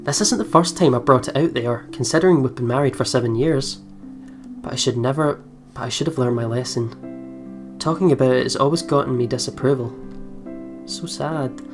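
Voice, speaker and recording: A man doing let's play commentary, reading game narration aloud in an expressive way with playful asides. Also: mid-range voice, moderate pace. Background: soft game music with guitar.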